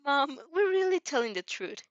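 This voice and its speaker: A woman's voice: high-pitched, big pitch swings, moderate pace, dry recording, highs cut off, voice pushed up. A woman voicing an earnest, pleading young boy who is protective of his friend.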